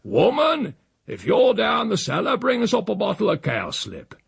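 An irascible old English countryman from a short story. His rustic voice is very rough and gravelly, pushed up high as he goads and blusters bluntly. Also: huge pitch swings, takes it slowly, no echo, studio quality, heavily acted. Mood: bellowing, irascible. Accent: rural English. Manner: goading, blunt, dialect-speaking. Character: bawling, cantankerous, roguish old country uncle.

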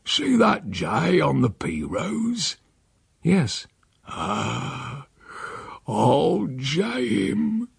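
A man voicing a wily, bedridden old countryman, gruff and short of breath, with a slow, gravelly drawl. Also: a little high, sing-song, dry recording, clean studio sound, voice pushed up, exaggerated voice. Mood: sly.

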